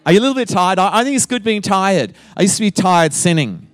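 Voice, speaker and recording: A man's voice: high voice, animated, quick pace, dry recording, faint music behind. A middle-aged man with an Australian accent, a guest pastor opening his sermon with playful teasing and frequent laughter.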